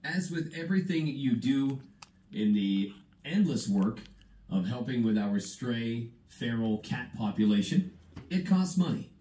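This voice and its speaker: Mellow Canadian male radio host. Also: medium pitch, animated, a little slow. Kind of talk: chatty radio presenting and interview. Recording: radio broadcast replayed in echoey room.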